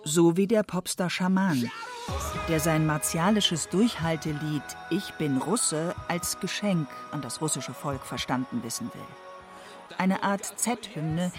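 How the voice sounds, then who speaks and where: A woman's voice: deep voice, takes it slowly, over music. A woman reading scripted narration as a documentary narrator.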